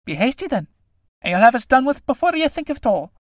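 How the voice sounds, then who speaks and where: A woman plays a jittery country priest, a man who coaxes and dodges in a lively, rising voice. The sound is dry and close. It suits a read-aloud stage play. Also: sits high, quick pace, no echo, voice pushed up. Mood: hurried. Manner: uneasy, coaxing. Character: nervous, evasive middle-aged village priest, male.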